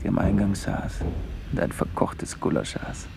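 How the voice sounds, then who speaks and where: Low, gravelly musing man. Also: animated, normal speed, exaggerated voice. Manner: musing, fragmentary inner monologue. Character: wandering, brooding man narrating his Munich outing. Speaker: radio play actor. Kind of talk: literary radio play monologue. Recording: noisy radio recording with clicks.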